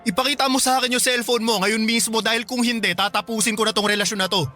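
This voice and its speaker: High-pitched, intense young boyfriend. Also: male voice, steady tone, quick pace, bright, forceful tone, soft background music. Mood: suspicious, demanding. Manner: intense, insistent, emotional. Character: suspicious, insistent young boyfriend who turns protective. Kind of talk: Filipino radio drama acting.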